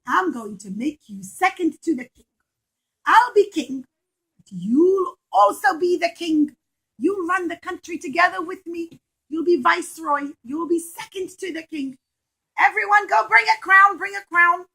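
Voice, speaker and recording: A woman voicing an excitable, generous Egyptian king in an exclamatory, emphatic children's storytelling style. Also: very high voice, measured pace, voice pushed up, full character voice, low-quality recording. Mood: grand, reassuring.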